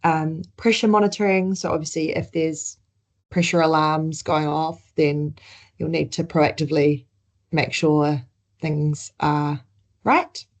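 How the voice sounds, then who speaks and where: Low-pitched woman, New Zealand accent, relaxed webinar presenter who restarts often. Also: a little slow. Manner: conversational, with frequent ums and restarts.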